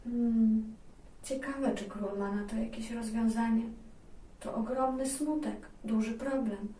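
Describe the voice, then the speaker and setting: Calm woman storyteller, fairly high, echoey. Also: measured pace, far from the mic, a little hiss or hum. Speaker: co-storyteller in a children's tale series. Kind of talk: conversational storytelling for children.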